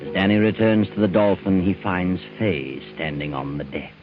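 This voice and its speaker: Deep guy narrating an old 50s radio mystery, dramatic and knowing, music behind him, really muffled. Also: fairly even intonation, moderate pace. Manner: dramatic, knowing, addresses the listener. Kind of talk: 1950s radio narration, scripted. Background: prominent music.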